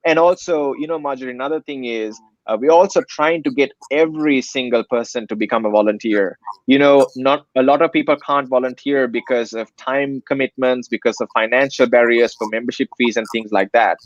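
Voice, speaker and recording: A man's voice: mid-range voice, a little fast, hardly any pauses, dry recording, lo-fi sound. A young man from Sri Lanka, a nonprofit director, earnestly explaining his project in an interview with many fillers. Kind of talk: interview answers.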